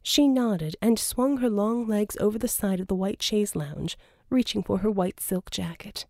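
A woman's voice: mid-range voice, a little slow, mellow, dry recording, clean studio sound. A woman reading scripted fiction as an audiobook narrator.

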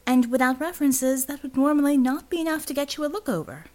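A woman voicing a smug male mercenary recruiter in an audiobook, with insinuating, smooth sales patter. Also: sits high, quick pace, darkish tone, voice pushed up, natural voice. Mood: coaxing, smug.

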